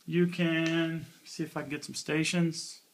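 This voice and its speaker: A man's voice: a little high, level tone, a little slow, heavy background noise. A man giving an informal, offhand hands-on product review in casual everyday speech. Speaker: gear reviewer.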